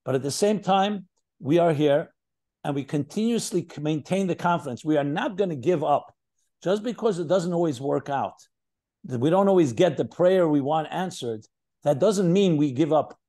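A man's voice: fairly high pitch, animated, normal speed, dark tone. An older man with an American accent, a rabbi leading an online session, speaking in an earnest, searching way in short phrases on a video call. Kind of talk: reflective spiritual talk.

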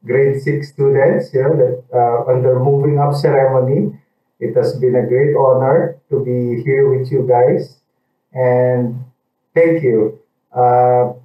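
A middle-aged man with a Filipino accent gives an informal congratulatory speech as a parent, halting and conversational. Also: mid-range voice. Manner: halting, conversational, tags phrases with "no". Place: small indoor office, recorded video message. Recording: distant, echoey, dull webcam sound.

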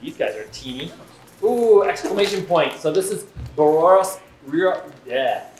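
A man's voice: on the high side, expressive, normal speed, a little mellow, roomy sound, faint music behind. A man giving casual, chatty and enthusiastic commentary as host of a shop's unboxing video. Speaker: aquarium shop host. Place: indoors in an aquarium shop.

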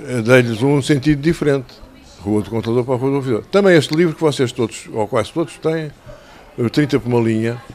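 An older man with a European Portuguese accent speaks to a group of children in the manner of a storyteller. His voice is mid-range and a little hoarse. He is conversational and instructive, often repeating a phrase to make it stick, and speaks into a handheld microphone. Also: medium pitch, darkish tone. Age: 60s or older. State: slightly hoarse. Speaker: children's author. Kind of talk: talking and telling stories to schoolchildren. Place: covered outdoor tent in a town square.

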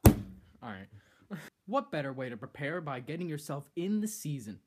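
A teenage boy hosting a comedic skit in a living room, bossy and exasperated, bursting into shouts, recorded on a camera mic a few feet away. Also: a little high, very animated, normal speed, a little hiss or hum. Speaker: host. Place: decorated living room indoors.

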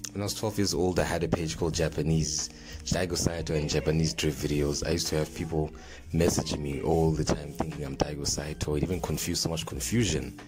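A young man from Zimbabwe with a Zimbabwean accent, chatting casually to camera in an informal storytelling way, like a vlogger speaking close into a phone. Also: very deep voice. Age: young adult. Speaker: vlogger, drift fan page host. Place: small plain indoor room. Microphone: phone held close to the mouth. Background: background music.

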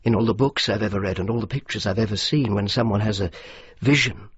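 An actor playing a courteous, dutiful English civilian official in a radio drama, formal and measured, with an educated English accent. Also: male voice, lowish voice, slightly rough. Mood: reflective. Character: earnest, dutiful middle-aged English civilian official, courteous yet insistent. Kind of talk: radio drama acting and reflective narration. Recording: slightly muffled, faint noise.

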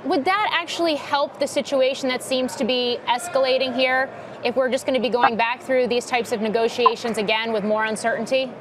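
A woman's voice: on the high side, steady tone, a little fast, background noise. A woman anchoring television news, putting a detailed question to a guest in a live interview.

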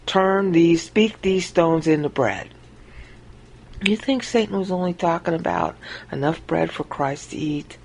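A woman giving an informal Bible teaching monologue over a screen recording, acting out quoted lines with big jumps in pitch. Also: measured pace, creaky, a little mellow, slightly rough, poor audio.

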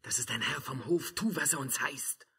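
A man's voice: mid-range voice, moderate pace, bright, forceful tone, slightly rough. An actor playing a grave subject solemnly addressing his lord in declaimed 1950s German radio verse drama. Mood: grave, accusing.

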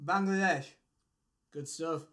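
A man's voice: fairly high pitch, slow, pushed and bright. A British man in his twenties chatting casually with strangers as a magician. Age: 20s. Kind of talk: casual chat with strangers on video. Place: small room at home.

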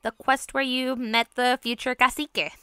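A woman's voice: sits high, flat delivery, normal speed, pushed and bright, clear, resonant tone, no echo. A woman playing a keen, bookish young girl, enthusiastic and inquisitive, in an audio drama. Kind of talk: naturalistic audio drama acting.

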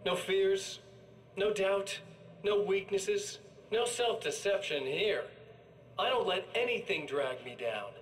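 Slow, taunting evil double. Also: male voice, high voice, animated, recorded from a distance, a little hiss or hum. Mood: smug, boastful. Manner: taunting, theatrical, drawn-out delivery. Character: smug, menacing evil double of a writer. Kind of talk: video game villain monologue.

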